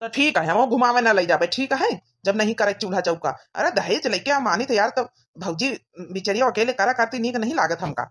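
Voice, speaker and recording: A woman's voice: medium pitch, lively intonation, a little fast, few pauses, fairly bright tone, slightly rough. A woman voicing a nagging but fair-minded young village husband in an Awadhi cartoon comedy, with a rustic, scolding manner. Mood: annoyed.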